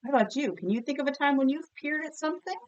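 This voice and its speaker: A woman's voice: high-pitched, fast, highs cut off. A woman teacher leading a read-aloud vocabulary lesson with children, encouraging and questioning, enunciating words for pupils to repeat.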